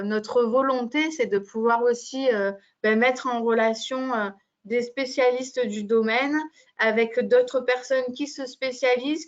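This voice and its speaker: A woman hosting a webinar, speaking informally as she gives thanks and announcements. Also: on the high side. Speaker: webinar host for a medical device company. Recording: muffled, band-limited webinar audio.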